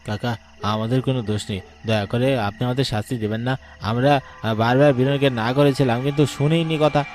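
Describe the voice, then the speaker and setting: A man's voice: medium pitch, fairly even intonation, brisk, darkish tone, faint music behind, voice pushed up, lightly acted. Man playing a frightened, pleading young villager. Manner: pleading, hesitant. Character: frightened young villager begging not to be punished.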